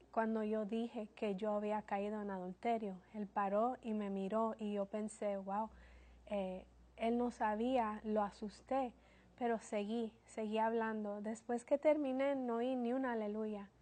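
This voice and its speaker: A woman, telling her testimony as a guest in an earnest, steady way. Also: fairly high pitch, measured pace. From Colombia.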